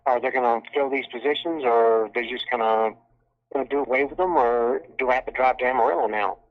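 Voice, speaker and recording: A man's voice: medium pitch, brisk. A man calling in with a spontaneous question, sounding hesitant and concerned. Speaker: caller. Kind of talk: spontaneous question on a public call. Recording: dull telephone line.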